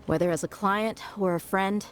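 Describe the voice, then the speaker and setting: A woman playing a confident, warm-hearted, laid-back female mercenary in a video game. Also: mid-range voice, fairly even intonation, moderate pace, lightly acted. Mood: fond, sincere. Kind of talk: scripted video game dialogue. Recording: music behind the dialogue.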